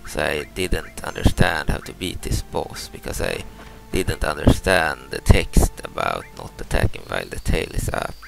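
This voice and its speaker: Deep gravelly Swedish man commenting gameplay. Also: big pitch swings, a bit bright, soft background music. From Sweden. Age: 30s. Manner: halting, repeats words and restarts, explains moves step by step. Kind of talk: casual let's-play commentary.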